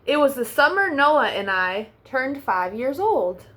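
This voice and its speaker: A woman hosting a children's storytime, reading a rhyming picture book aloud in an expressive, sing-song way. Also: high-pitched, measured pace.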